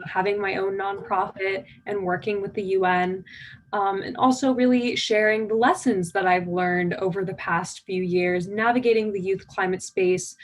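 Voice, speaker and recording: A nineteen-year-old woman from the United States giving a conversational conference keynote, eager and full of fillers. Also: medium pitch. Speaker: keynote speaker.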